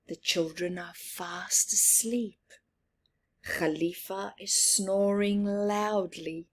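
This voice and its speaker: A woman reading a children's picture book aloud, taking her time over every phrase. She is expressive, often drops into soft whispers and then lifts her voice again. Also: mid-range voice, breathy edge. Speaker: children's story reader.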